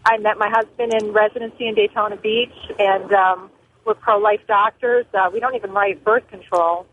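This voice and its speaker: A woman's voice: medium pitch, steady tone, moderate pace. Emphatic middle-aged woman on phone. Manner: emphatic, short punchy declarative sentences. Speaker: call-in listener, family physician. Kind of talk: earnest opinionated call-in talk. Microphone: telephone. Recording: narrow tinny phone line.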